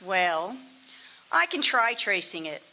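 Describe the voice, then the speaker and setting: A woman acting a calm, matter-of-fact chief telephone operator in a live radio play. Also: medium pitch, slow pace, a little hiss or hum, exaggerated voice, rough recording. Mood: businesslike. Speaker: radio drama actor.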